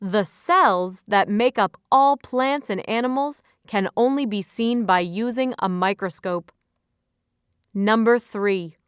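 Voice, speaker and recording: A woman reading scripted vocabulary entries and example sentences as an educational narrator, enunciating carefully in a measured way. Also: mid-range voice, fairly bright tone, smooth, no echo, clean studio sound.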